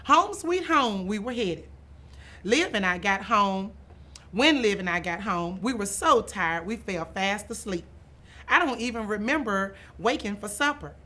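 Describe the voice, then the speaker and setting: A woman reading a children's picture book aloud in an expressive storytelling manner, stretching some phrases for emphasis. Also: mid-range voice, unhurried. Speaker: author and school administrator reading aloud.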